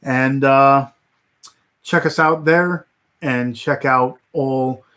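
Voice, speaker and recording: A man chatting on a casual livestream at an ordinary pitch, drifting from thought to thought with plenty of fillers and false starts. His voice is wearing thin and turning hoarse. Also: fairly even intonation, drawn-out words, a bit bright. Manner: rambling, many fillers and restarts. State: voice getting hoarse. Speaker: livestream host.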